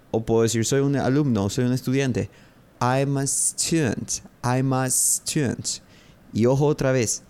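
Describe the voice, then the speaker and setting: A man, hosting a podcast English lesson and repeating phrases slowly and carefully for learners. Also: medium pitch, darkish tone. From Paraguay. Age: 30s. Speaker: English teacher, podcast host.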